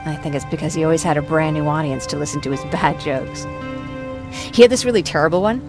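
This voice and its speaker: A woman's voice: sits low, quick pace, over music. A woman playing a talkative, protective young war survivor in a video game, wry and self-deprecating in natural dialogue. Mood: chatty, fond.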